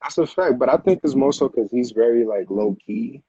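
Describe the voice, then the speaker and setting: A man's voice: medium pitch, animated, normal speed, warm tone, highs cut off. An American man chatting casually as an interview guest, with slangy phrasing and a habit of repeating himself. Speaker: music producer, interview guest.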